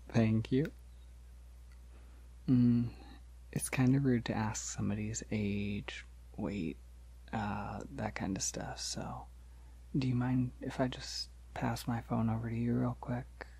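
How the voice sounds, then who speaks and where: Man playing a soft, breathy, unhurried polite vampire. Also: medium pitch, heavily acted. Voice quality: breathy, often dropping into whisper. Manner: hesitant, reassuring, softly chuckling. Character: polite, awkward, gentle young male vampire. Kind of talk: ASMR roleplay, soft-spoken and intimate.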